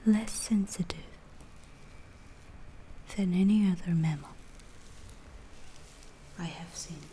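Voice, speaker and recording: Woman as curious alien researcher, ASMR roleplay, lowish soft voice, breathy whispers, clinical, deliberate, roomy echo. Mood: calm, inquisitive. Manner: slow, deliberate, halting, clinical and detached. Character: calm, curious alien researcher questioning an abducted human. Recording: roomy echo, faint background noise.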